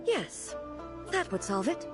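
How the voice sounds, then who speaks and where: Solemn, higher-voiced dignified ruler. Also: female voice, big pitch swings, heavily acted. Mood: earnest, pondering. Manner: formal, measured, earnest. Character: solemn, dignified female ruler, curious yet naive. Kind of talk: anime-style video game voice acting. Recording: studio voice over faint game music.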